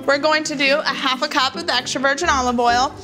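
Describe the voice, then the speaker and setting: A woman's voice: high-pitched, fairly even intonation, fast talker. A young woman, joking and sassy while cooking in a home kitchen. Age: young adult. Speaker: co-host, daughter. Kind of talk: casual cooking chat. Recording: TV show with music bed. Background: background music.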